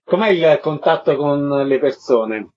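A man's voice: fairly high pitch, animated, unhurried, darkish tone. A man asks interview questions as a radio host. The audio is slightly dull and lo-fi. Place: radio broadcast.

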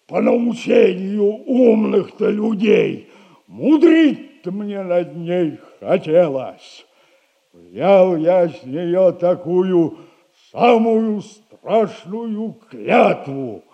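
An old retired soldier bragging about his past in a comedy. The voice is high for a man and gravelly, with a mellow tone. He rambles along in a self-admiring, theatrical way. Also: heavily acted. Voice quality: creaky old man's voice. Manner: drawn-out, rambling, self-admiring storytelling with repeated catchphrase. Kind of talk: theatrical radio play comedy acting.

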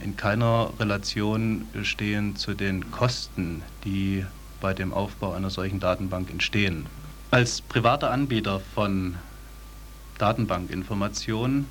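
A man giving formal interview answers as a business manager, hesitant and halting. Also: fairly low pitch, slight background noise. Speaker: sales director being interviewed.

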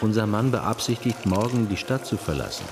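An actor playing a sober police detective in a radio crime drama, speaking in a terse, matter-of-fact way. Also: male voice, lowish voice, lively intonation, brisk. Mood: businesslike.

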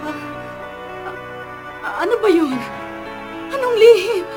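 Very high-voiced, emotional mother. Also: lively intonation, takes it slowly, music in the background, full character voice. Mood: shocked. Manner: emotional, imploring. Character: devoted, distraught middle-aged mother defending her son. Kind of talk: radio drama acting.

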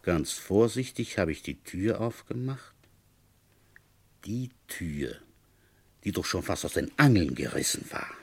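A man playing a grumbling police inspector in a 1960s German radio play, telling an old case in a husky, conversational way. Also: low voice, big pitch swings, measured pace, long pauses, exaggerated voice. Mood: wry, reflective.

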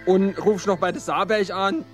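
A man's voice: high-pitched, fairly even intonation, normal speed, music in the background, lightly acted. A man, playing a hesitant, glum man in a radio play. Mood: earnest. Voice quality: hesitant, mumbling. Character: gloomy middle-aged man named Ernst, sullen. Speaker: radio drama character.

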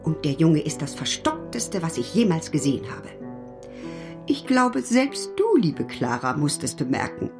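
An actress playing a severe, sour sister-in-law in a radio play, admonishing sharply. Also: female voice, low-pitched, big pitch swings, a little slow, over music, heavily acted. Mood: disapproving. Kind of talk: literary radio play acting.

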